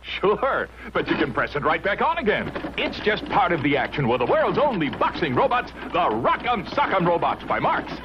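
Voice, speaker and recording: A man announcing an old toy commercial as an upbeat salesman. His voice sits high and lively. The recording is dull and lo-fi, with loud noise underneath. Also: moderate pace, hardly any pauses.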